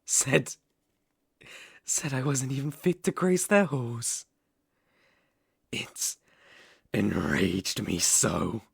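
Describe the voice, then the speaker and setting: A man's voice: medium pitch, big pitch swings, gravelly, breathy edge, no echo, studio quality, full character voice. A man playing an obsessive, sinister young villain king in an ASMR roleplay, speaking theatrically and sometimes dropping to a whisper. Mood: enraged. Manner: sinister, theatrical, slow drawn-out phrasing with whispers.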